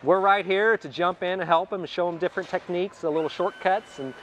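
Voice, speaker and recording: A man's voice: high voice, steady tone, quick pace, fairly bright tone, a little hiss or hum. A man giving casual, practical explanations in an interview as a company representative and workshop instructor. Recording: music under the voice.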